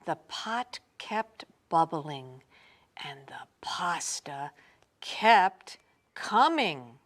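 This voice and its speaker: A woman reading a children's storybook aloud in an expressive, theatrical way, sometimes dropping to a hush. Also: fairly low pitch. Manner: expressive, theatrical, drawn-out phrasing with hushed drops. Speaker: storybook reader. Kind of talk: dramatic children's read-aloud narration.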